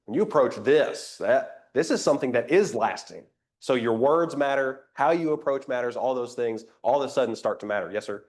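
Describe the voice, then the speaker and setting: A man's voice: medium pitch, a little fast, darkish tone. A man teaching an interactive Bible class, a little nasal from a cold, conversational and asking the group questions. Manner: conversational, invites comments, rhetorical questions.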